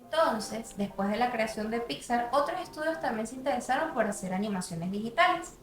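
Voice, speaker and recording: A woman's voice: a little high, expressive, fairly quick, echoey room, faint music behind. A woman reading a scripted narration for a student video essay.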